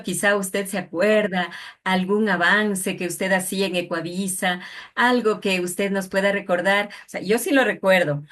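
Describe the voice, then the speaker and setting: A woman hosting an interview in a polite, deferential, conversational way. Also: mid-range voice. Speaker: interviewer, host.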